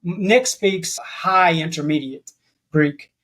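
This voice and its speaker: A man with a fairly high voice chats as a guest on a podcast. He rambles and restarts his sentences as his thoughts catch up. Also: takes it slowly, a bit bright, rough recording. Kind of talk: casual interview chat.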